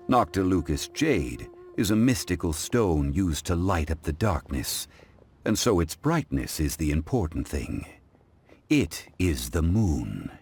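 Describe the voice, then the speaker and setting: An actor playing a calm, refined gentleman connoisseur in a video game, speaking in a formal, measured, lecturing way. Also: low voice, heavily acted. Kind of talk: English-dubbed video game acting.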